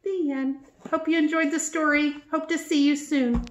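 A middle-aged woman reads a picture book aloud to young children like a preschool teacher, with expressive, deliberate phrasing. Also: sits high, a little hiss or hum. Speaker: preschool teacher reading a story. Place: small office with bookshelves. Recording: roomy indoor sound.

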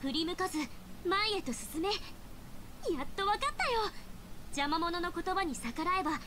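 A woman's voice: very high-pitched, lively intonation, unhurried, fairly bright tone, a little hiss or hum, heavily acted. A woman playing a perky, headstrong young girl adventurer in an anime game. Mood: smug. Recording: game music behind the voice.

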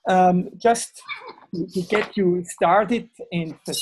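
A man with a German accent giving a webinar talk over a video call, halting and full of fillers and restarts. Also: high voice, a little mellow, breathy edge. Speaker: webinar speaker, university lecturer. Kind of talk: hesitant presentation on a video call.

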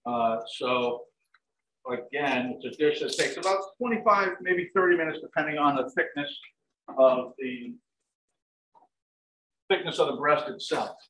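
A middle-aged chef giving a live cooking demonstration in a large home kitchen. He explains each step in a relaxed way, with plenty of "um" and "like I said". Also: on the high side, a little slow, pauses often. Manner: relaxed, step-by-step instructions with frequent "um" and "like I said". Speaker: chef and dining services director. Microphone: fixed camera across the counter. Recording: echoey kitchen, voice from a distance, faint hiss. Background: turkey sizzling in a pan.

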